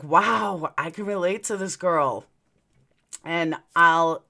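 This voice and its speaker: Bright-voiced New York woman, blunt and chatty. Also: lowish voice. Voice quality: speech slightly affected by clear dental aligners. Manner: blunt, sweary, rambling. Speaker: livestream host. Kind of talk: casual livestream chat with viewers.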